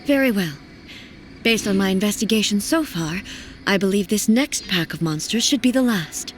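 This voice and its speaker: A woman voicing a stoic, solemn warrior ruler in a fantasy video game, speaking formally and gravely. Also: mid-range voice, lively intonation, normal speed, a little hiss or hum, close to an everyday voice. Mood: solemn, composed.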